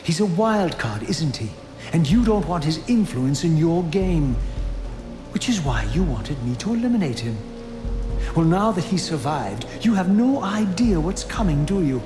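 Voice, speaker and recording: An actor playing a proud, sardonic undead wraith in a video game, speaking in a formal, theatrical and biting manner. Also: male voice, a little high, sing-song, a little slow. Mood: taunting. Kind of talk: dramatic video game voice acting and narration. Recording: echoey, with music behind.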